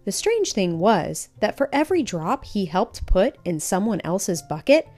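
A woman reading a children's storybook aloud in expressive narration. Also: mid-range voice, measured pace, faint music behind. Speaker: storybook reader.

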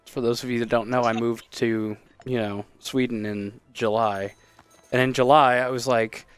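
A man's voice: medium pitch, steady tone, normal speed, clear, resonant tone, no echo, soft background music. A man, chatting casually and playfully while co-hosting a strategy game stream from a small home room. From Texas, USA. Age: 30s. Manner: playful, hammy, breaks into loud exaggerated bits. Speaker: streamer co-host. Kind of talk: casual gameplay chat.

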